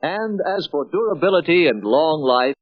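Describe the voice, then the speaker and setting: A man's voice: fairly high pitch, expressive, normal speed, faint music behind. A man with an American accent delivering scripted 1950s promotional narration in a punchy, emphatic announcer manner. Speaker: second narrator of a sales training film. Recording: dull, dry vintage film soundtrack.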